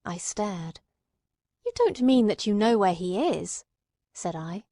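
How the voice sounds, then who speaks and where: A woman's voice: medium pitch, big pitch swings, slow, dark tone, no room sound. Woman narrating a classic story, close and dry. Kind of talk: scripted literary narration with acted dialogue.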